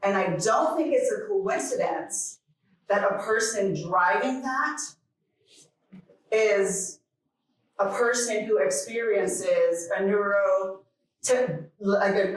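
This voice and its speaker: Echoey, unhurried woman keynote speaker from Jamaica. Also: medium pitch. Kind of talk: informal keynote speech. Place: large echoing conference hall. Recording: very echoey hall, distant microphone.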